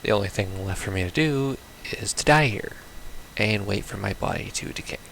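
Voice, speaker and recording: A man's voice: fairly low pitch, normal speed, gravelly, noisy background. A man playing a visual novel, reading its text aloud casually with baffled, blunt asides. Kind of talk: casual let's play, reading game text aloud with confused reactions.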